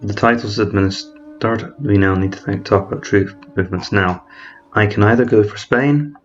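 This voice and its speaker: Man, fairly low voice, methodical game commentary, thinks aloud, fixes words mid-sentence, dull lo-fi voice-over, faint hiss. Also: normal speed. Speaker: strategy game let's-player. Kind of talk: explanatory gameplay commentary.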